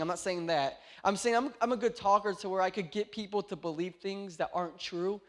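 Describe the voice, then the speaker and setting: A man in his late twenties preaching a sermon in a church, joking and telling animated stories. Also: sits high. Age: 20s. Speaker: pastor.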